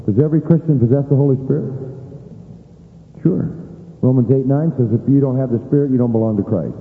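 Male preacher, emphatic sermon delivery, tinny lo-fi sound, long hall reverb. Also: medium pitch. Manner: rhetorical questions, emphatic. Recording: echoey hall on a tinny line.

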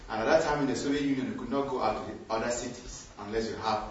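An older man from Nigeria with a Nigerian accent, telling a personal story to a group in an unhurried way with small hesitations. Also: medium pitch, a little hiss or hum. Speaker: group participant sharing a story. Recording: echoey, far from the mic, dull.

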